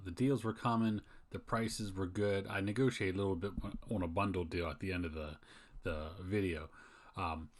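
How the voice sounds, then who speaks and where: A man hosting a picking vlog, narrating casually in a folksy, informal way. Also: low voice. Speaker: host of a yard sale picking channel. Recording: music behind the voice. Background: music.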